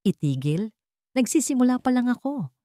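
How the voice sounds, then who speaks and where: A woman's voice: a little high, very animated, moderate pace, warm tone, no echo, studio quality, voice pushed up. Woman voicing a gloating sarcastic ex-husband. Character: scheming smug ex-husband, man.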